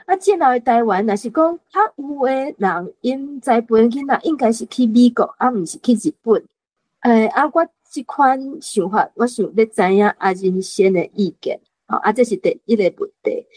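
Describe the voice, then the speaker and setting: A woman's voice: a little high, normal speed, darkish tone, no room sound, poor audio. A woman with a Taiwanese accent discussing a book in an orderly, explanatory way as a panelist putting questions. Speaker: reading-club panelist posing questions.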